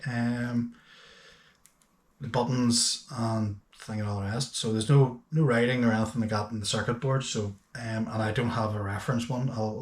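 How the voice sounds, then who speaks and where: Casting: male reviewer, mid-pitched, chatty and matter-of-fact with hesitant phrasing, recorded in a roomy, echoey space. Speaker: host of a boating gadget review channel. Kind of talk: casual unscripted product review.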